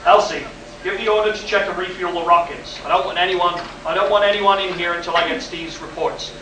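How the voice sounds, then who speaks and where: An actor in a live amateur radio play, playing a gruff, anxious, aging chief of flight control. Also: male voice, sits high, fairly even intonation, brisk, close to an everyday voice. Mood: urgent, relieved. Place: live stage at a convention. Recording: distant, echoey live hall recording with noise.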